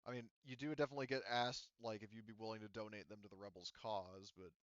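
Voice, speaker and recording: A man running a tabletop roleplaying game as game master, narrating casually and haltingly as he thinks aloud. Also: fairly low pitch, fairly even intonation, fairly bright tone, smooth, no echo, highs cut off.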